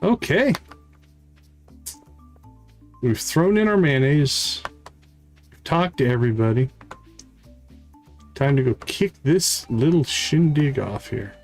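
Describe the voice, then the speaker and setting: Over soft game music, a man talks through his livestream at a slow pace. His voice sits at a middle pitch, and he thinks aloud in short, casual phrases as he plays. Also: expressive. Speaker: live streamer, game host. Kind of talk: casual gameplay commentary, thinking aloud and reading game dialogue. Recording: music playing behind the voice.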